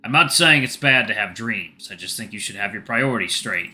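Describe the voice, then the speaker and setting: Stern middle-aged father for audio drama played by a male narrator, curt, disapproving, bright forceful edge. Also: on the low side, lively intonation, fairly quick, faint music behind.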